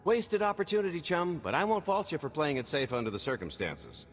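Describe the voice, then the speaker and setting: An actor playing a roguish, cheeky, pleasure-loving scoundrel in a video game, talking in a glib, breezy way. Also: male voice, fairly high pitch, lively intonation, fairly quick, lightly acted. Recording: reverberant game hall, faint music.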